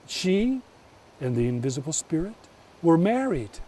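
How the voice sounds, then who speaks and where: Man telling folk tales, very animated. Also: fairly high pitch, slightly rough, recorded from a distance. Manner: theatrical, deliberate pauses. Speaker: storyteller. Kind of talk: dramatic oral folk-tale storytelling.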